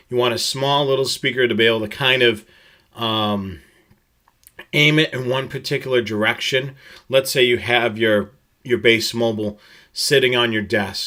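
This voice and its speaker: A man presenting a product tutorial, explaining gear slide by slide in a halting, steady, instructional way. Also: medium pitch.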